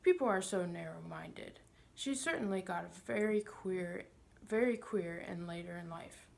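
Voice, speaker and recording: A woman's voice: fairly low pitch, animated, unhurried, vocal fry, darkish tone, heavily acted. A woman voicing a pompous, sly older male magician in a storybook, smug and condescending, with a wheedling manner. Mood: evasive.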